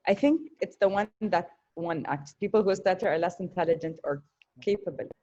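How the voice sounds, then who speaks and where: A woman on an online panel, speaking with earnest conviction and often pausing to repeat a word. Her voice is mellow and a little dark. The audio is dull and lo-fi, like a video call. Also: fairly low pitch. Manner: thoughtful, earnest, with hesitations and repetitions.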